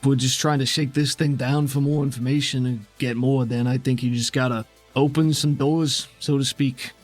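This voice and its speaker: A man's voice: mid-range voice, steady tone, normal speed, soft background music, close to an everyday voice. A man playing a helpful, cautious guide in an improvised tabletop game, casual and reassuring. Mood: thoughtful, probing. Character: helpful, cautious male guide with circuitry, inside a brainscape. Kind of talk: improvised in-character game dialogue.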